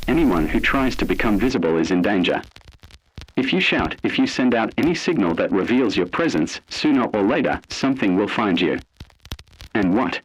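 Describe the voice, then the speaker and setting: Ominous male narrator with a husky voice. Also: fairly low pitch, low-quality recording. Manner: ominous, deliberate, addresses the listener directly. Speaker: narrator of an online horror essay. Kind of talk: scripted narration, analog horror.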